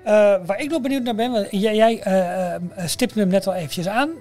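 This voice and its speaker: A man's voice: high voice, a little fast, a little mellow. A man co-hosting a podcast in a casual, conversational style. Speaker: podcast co-host. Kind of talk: casual chat. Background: background music.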